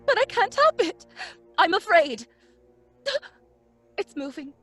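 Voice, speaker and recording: A woman's voice: very high-pitched, very animated, slow, a bit bright, faint music behind, heavily acted. An actress playing a frightened, gasping young woman at a séance in an old-fashioned radio horror drama. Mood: terrified. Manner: gasping, anxious, pleading.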